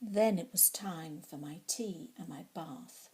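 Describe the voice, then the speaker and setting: A woman reading a children's story expressively and theatrically, sometimes dropping to hushed tones. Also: on the low side, slow pace. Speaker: storybook reader.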